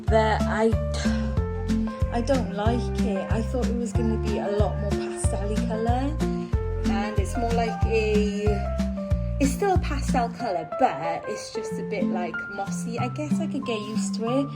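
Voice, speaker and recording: Young British woman vlogger, chatty and unsure, over loud background music. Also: on the high side, a little slow. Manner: chatty, rambling, self-doubting. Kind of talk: casual vlog chat. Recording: music playing behind the voice.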